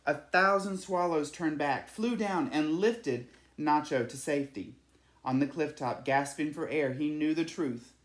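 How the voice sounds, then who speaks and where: A man's voice: on the high side, measured pace. Man reading a storybook, echoey room. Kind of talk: children's picture-book read-aloud.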